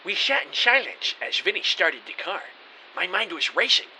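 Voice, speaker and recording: A high-pitched, very bright male narrator, acted by a man telling his story as an anxious, hapless everyman. The delivery is lively, earnest and scripted, over a faint hiss. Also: normal speed, heavily acted, rough recording. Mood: anxious narration. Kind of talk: dramatic first-person scripted narration.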